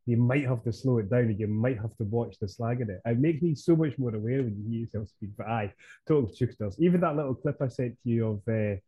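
A man's voice: medium pitch, fast, breathy edge, dry recording, muffled recording. A Scottish man co-hosting a podcast, chatting casually and rambling between topics. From Scotland. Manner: rambling, chatty, laughs easily. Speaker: podcast co-host. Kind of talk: casual chat.

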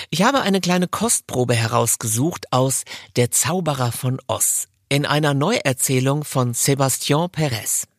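A man's voice: medium pitch, no echo. A male podcast host speaks to listeners and guests. His intonation moves up and down freely, and the sound is close and dry. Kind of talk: podcast presenting.

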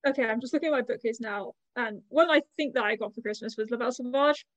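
Higher-voiced woman, tentative and casual. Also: fast talker, fairly bright tone, no echo, muffled recording. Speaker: podcast co-host. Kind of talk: casual book podcast chat.

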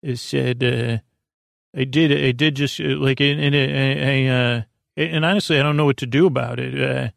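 Man, heavy vocal fry, bedtime rambler, meandering and repetitive, very dry sound. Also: medium pitch, fairly even intonation, no room sound. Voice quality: creaky, gravelly. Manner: meandering, halting, repeats and trails off, whimsical. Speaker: host of a bedtime sleep podcast. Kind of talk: rambling bedtime podcast monologue.

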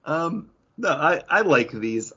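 Animated, husky man on a lo-fi podcast. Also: fairly high pitch, slow. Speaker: podcast co-host. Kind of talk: casual, opinionated banter. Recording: dull lo-fi audio.

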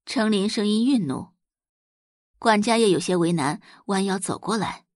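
A woman's voice: mid-range voice, moderate pace, no echo, clean studio sound. A woman reading dramatic scripted narration as an audiobook narrator.

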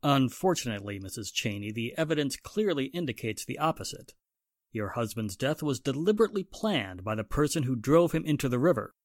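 An actor playing a skeptical police inspector in a 1940s-style radio drama, deliberate, dry and official in manner. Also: male voice, mid-range voice, sing-song, no room sound, close to an everyday voice. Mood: matter-of-fact.

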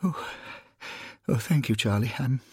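Man voicing a high, faltering, genteel gentleman. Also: expressive, unhurried, breathy edge, no room sound, studio quality. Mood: overwhelmed. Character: emotional, humble old-fashioned gentleman, newly arrived in heaven.

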